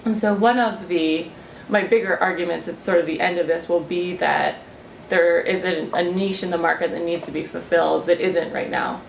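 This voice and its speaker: A woman's voice: fairly low pitch, moderate pace, vocal fry, slight background noise. A young woman explaining her research in an interview, talking thoughtfully with many fillers and restarts. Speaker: student researcher. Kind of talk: interview talk. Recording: roomy and a little distant, faint ambience.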